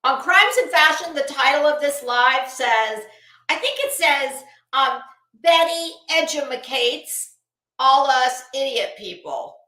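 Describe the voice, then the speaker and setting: A woman's voice: sits high, animated, slow, sharp tone, roomy sound, poor audio. A middle-aged woman hosting a casual livestream, chatting in a rambling, gossipy and sarcastic way. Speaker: livestream host. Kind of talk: casual livestream commentary chat.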